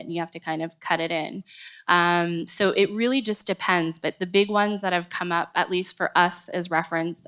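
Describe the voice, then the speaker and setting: A woman on a conference panel speaks in a low-pitched, smooth voice. Her delivery stays even and flat. She hedges casually with fillers. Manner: casual, hedging with um and like. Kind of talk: panel discussion.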